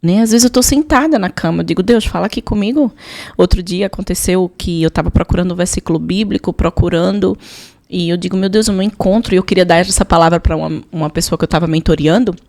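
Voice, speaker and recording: A woman's voice: fairly low pitch, fast talker, gravelly, darkish tone. A woman with a Brazilian accent telling personal testimony as a podcast guest, emphatic, repeating phrases and voicing her own prayers.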